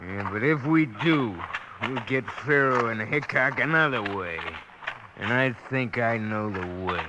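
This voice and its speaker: An actor playing a hot-headed, cowardly outlaw mob leader in an old radio western, blustering and excitable. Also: male voice, medium pitch, a little slow, natural voice. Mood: vengeful. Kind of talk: 1950s radio western acting. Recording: noisy crackly old radio recording.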